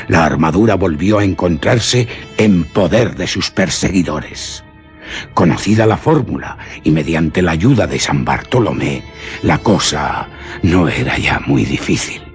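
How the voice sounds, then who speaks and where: Male narrator, Castilian accent, very deep pitch, solemn dramatic storytelling, radio play, soft music underneath. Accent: Castilian Spanish. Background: faint music.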